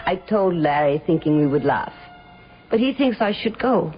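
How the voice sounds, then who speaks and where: A woman's voice: fairly low pitch, animated, moderate pace, natural voice. A woman playing an insolent, teasing young actress, ambitious yet wary, in a radio drama. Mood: confiding. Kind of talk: radio drama acting, with diary narration. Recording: muffled old radio drama, faint music behind.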